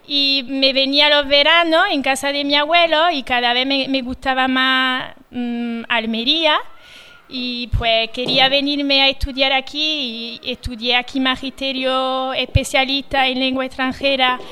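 A woman's voice: sits high, level tone, measured pace, sharp tone, clean tone. A young French woman, a native French speaker, working as a nursery school teacher, answering questions in a radio interview, hesitant and full of fillers. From France.

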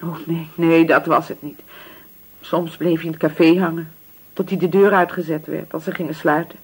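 An actress playing a poor, anxious, grieving widow in a radio drama, speaking hesitantly and submissively. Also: female voice, deep voice, little pitch movement, normal speed, a little mellow, breathy edge. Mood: subdued, sad. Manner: hesitant, repeating words, submissive.

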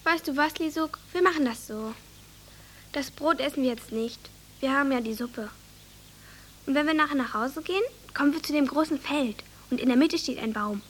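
A very high, childlike voice playing a bossy little village girl in a radio play. She is earnest and eager, forever instructing, with a little noise behind her. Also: moderate pace, a bit bright. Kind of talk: German radio drama acting.

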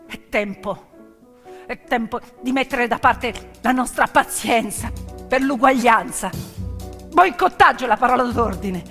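A woman performing dramatic theatrical storytelling in an emphatic, declamatory manner, with deliberate pauses and repetitions. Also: fairly high pitch, a bit bright. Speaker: stage storyteller. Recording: piano music behind the voice. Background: piano accompaniment.

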